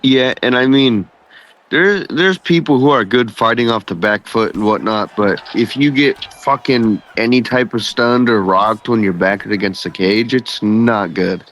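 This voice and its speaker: A man's voice: mid-range voice, unhurried, a bit bright, rough recording. Laid-back, swearing male color commentator. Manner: laid-back and heavily profane. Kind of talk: casual profane fight commentary.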